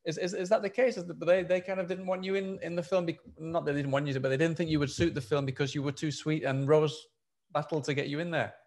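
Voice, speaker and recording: A man interviewing someone casually, circling around his questions in a halting, roundabout way. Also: on the high side, fairly even intonation, a little mellow.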